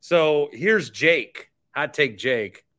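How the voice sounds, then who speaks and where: A man's voice: a little high, expressive, very slow, bright, forceful tone. A man hosting a sports podcast, talking conversationally and with opinion, repeating phrases for emphasis. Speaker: podcast host. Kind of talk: sports podcast talk with sponsor reads.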